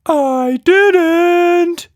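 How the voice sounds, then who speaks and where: Squeaky, childlike little monster. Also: male voice, very slow, no echo, studio quality, full character voice. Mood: eager. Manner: playful, earnest. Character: small pink silly magical monster, childlike and naive.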